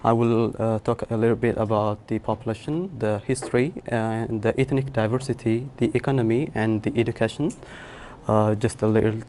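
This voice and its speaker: A young man from Ethiopia giving a public lecture in a hall, pausing often with uh and restarting sentences. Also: lowish voice, steady tone, darkish tone, slight background noise. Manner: halting, many filler uhs and restarts. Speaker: guest lecturer.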